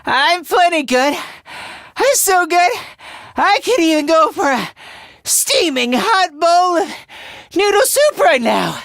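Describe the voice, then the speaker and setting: A man voicing a timid, self-deprecating yet excitable teenage boy in a video game, with a hesitant, stammering delivery and theatrical anime-style acting. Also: very high voice, slow pace, vocal fry, no room sound, studio quality, exaggerated voice. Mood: competitive, strained. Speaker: voice actor.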